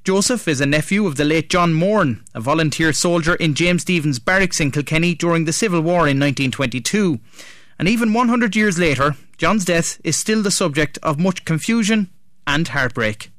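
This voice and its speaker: A man's voice: fairly high pitch, animated, normal speed, a bit bright, no echo, clean studio sound. A man with an Irish accent presenting a radio programme as host, reading from a script.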